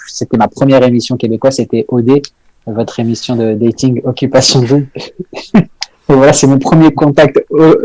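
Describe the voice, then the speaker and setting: A man's voice: mid-range voice, dark tone, poor audio. A young man from northern France, a comedian guest chatting casually on a podcast, hesitant with many fillers and restarts.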